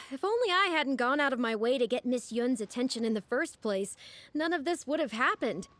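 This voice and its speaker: A woman voicing a flustered, naive young female rookie journalist in a video game, excitable and expressive. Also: high voice, moderate pace, dry recording. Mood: regretful. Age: young adult. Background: music.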